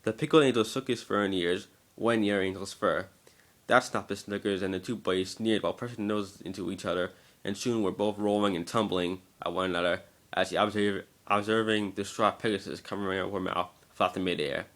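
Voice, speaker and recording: A man's voice: sits low, steady tone, a little fast, fairly bright tone. A man giving an amateur scripted narration of a story, now and then stumbling over the words.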